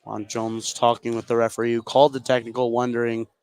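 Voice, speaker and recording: A man's voice: mid-range voice, level tone, fast, a little mellow, clear, resonant tone. A man working as color commentator on a live basketball broadcast, explaining the play in a conversational way.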